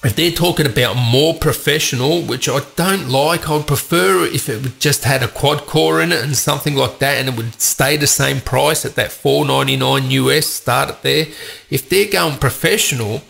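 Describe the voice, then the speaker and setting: Tech host, man, stuffed up from a cold, gravelly edge, chatty and opinionated. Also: mid-range voice, normal speed, a bit bright. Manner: chatty, opinionated, rambling asides. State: has a cold, congested voice. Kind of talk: casual tech news commentary.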